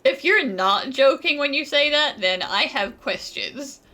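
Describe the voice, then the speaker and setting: Bright, lively woman gamer. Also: high-pitched, measured pace. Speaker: gamer playing a story quest. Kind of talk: casual gameplay reactions and dialogue reading.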